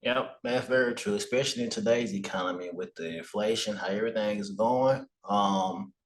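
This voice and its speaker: A man with a fairly low voice, speaking as a podcast guest. He lays out his advice calmly and in a measured way, like an expert explaining the rules. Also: poor audio. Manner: calm, explanatory advice-giving. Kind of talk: casual podcast interview.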